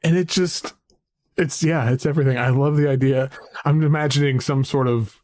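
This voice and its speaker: A man hosting a music podcast, chatting casually with a deep voice, rambling with fillers and restarts. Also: fairly even intonation, normal speed, a little mellow, no echo, clean studio sound. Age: adult, a parent. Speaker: podcast host. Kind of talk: casual conversation about music.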